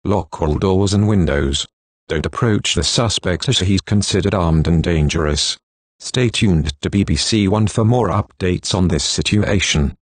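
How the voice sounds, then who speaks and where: A man playing a news correspondent and official announcer, reading scripted reports and warnings in a formal, measured manner. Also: low-pitched, dry recording.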